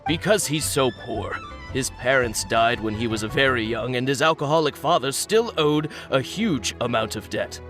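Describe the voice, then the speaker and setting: An actor playing a nervous, defensive fish seller in a video game, gossipy and hesitant. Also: male voice, medium pitch, expressive, normal speed, lightly acted. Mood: confiding. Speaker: fishmonger character. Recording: background music under voice. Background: game music.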